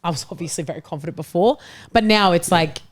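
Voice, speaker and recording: A woman's voice: on the low side, fast talker. A woman in a casual football debate, speaking bluntly and defensively as a fan on the panel.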